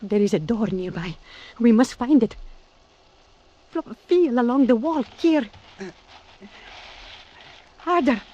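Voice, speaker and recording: An actress playing a fiery, world-weary waterfront woman in an old-time radio drama, speaking in dramatic, deliberate phrases. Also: fairly high pitch, warm tone, muffled recording. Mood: urgent.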